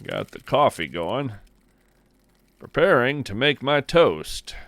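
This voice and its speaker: Unhurried man musing, lively intonation. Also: medium pitch, no echo. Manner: folksy, musing, poetic, deliberate phrasing. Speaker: podcast host. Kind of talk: scripted first-person narration in a fiction nature podcast.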